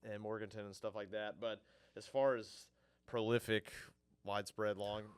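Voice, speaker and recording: A man co-hosting a casual podcast over a remote call, sounding nasal from a cold and easygoing, with drawn-out fillers. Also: fairly low pitch, flat delivery, smooth, dry recording. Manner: easygoing, drawn-out fillers, upbeat sign-offs. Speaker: podcast co-host. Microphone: virtual podcast, remote call.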